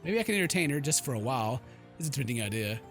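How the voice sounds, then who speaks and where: Male let's player: goofy, slangy, very animated, bright forceful voice, game music behind. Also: mid-range voice, rapid-fire. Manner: goofy, slangy, theatrical exclamations. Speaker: gaming YouTuber. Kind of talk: let's play commentary, reading game text aloud.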